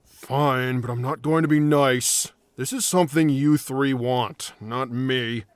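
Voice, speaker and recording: A man playing a grumpy, skeptical adventurer in a fantasy audio drama, blunt, gruff and grudging. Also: medium pitch, takes it slowly, dry recording, natural voice.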